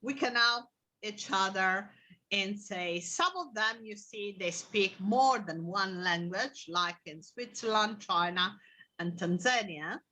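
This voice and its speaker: A woman's voice: mid-range voice, slow, bright, forceful tone, low-quality recording. A woman teacher leading an interactive online group language lesson in an encouraging manner.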